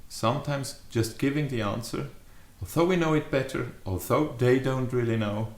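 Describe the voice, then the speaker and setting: A man in his fifties giving a reflective, explanatory talk to camera as a leadership adviser, with measured, deliberate phrasing. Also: mid-range voice, a little mellow. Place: small furnished study or living room, indoors. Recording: echoey living room.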